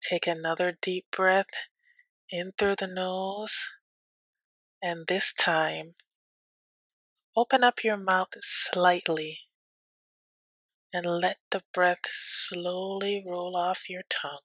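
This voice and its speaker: A woman teaching yoga nidra as a guided meditation, speaking gently and soothingly with long pauses. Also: fairly low pitch, steady tone, no echo, low-quality recording.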